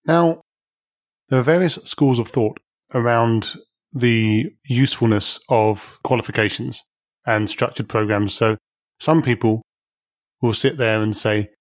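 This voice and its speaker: A man's voice: mid-range voice, a little slow, no room sound. A man hosting an interview, asking long conversational questions with light jokes and fillers. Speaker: podcast host.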